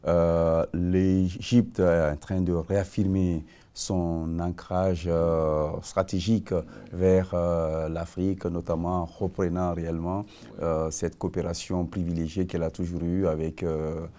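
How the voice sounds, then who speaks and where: A male TV host leads a formal interview in a measured way. His voice is deep and warm. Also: level tone.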